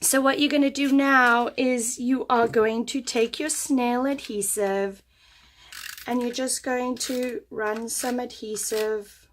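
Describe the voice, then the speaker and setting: A woman's voice: a little high, fairly even intonation, slow, a little hiss or hum. A woman demonstrating a paper craft who is losing her voice, giving chatty step-by-step instructions at her craft table. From United States. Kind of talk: craft tutorial.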